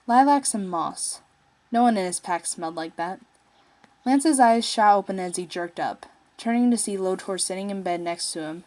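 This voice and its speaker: A woman's voice: mid-range voice, darkish tone, low-quality recording. A woman narrating a piece of fiction, reading it aloud from a written text. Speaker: story narrator, fan fiction writer.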